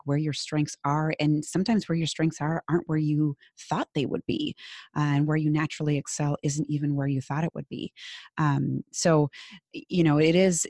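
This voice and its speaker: A woman's voice: low voice, a little mellow, no echo, clean studio sound. A woman from Minnesota with a Minnesotan accent, a business coach chatting as a podcast guest, full of fillers and restarts. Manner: chatty, many fillers and restarts, laughs easily. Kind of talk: casual interview chat.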